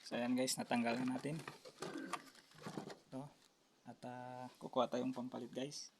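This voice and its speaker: A Filipino man talks viewers through a hands-on repair in a casual, halting way. His voice is gravelly with very little rise and fall in pitch. The amateur recording has clear background noise. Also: flat delivery, darkish tone. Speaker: electronics repair tutorial host. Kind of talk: casual hands-on step-by-step demonstration. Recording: noisy amateur recording with clicks.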